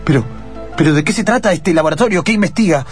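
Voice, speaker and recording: A man's voice: a little high, expressive, quick pace, soft background music, close to an everyday voice. A man voicing a nervous, obsessive researcher in a radio drama, agitated and stammering, with an Argentine accent. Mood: frightened, pleading. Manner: agitated, stammering, talking to himself.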